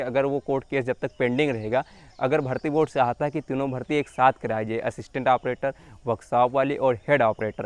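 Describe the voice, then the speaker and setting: A man's voice: medium pitch, smooth. A young man from hosting his own channel, casually explaining exam news to his viewers in a chatty, repetitive way. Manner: chatty, repetitive, addresses viewers as friends and brother. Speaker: YouTube channel host.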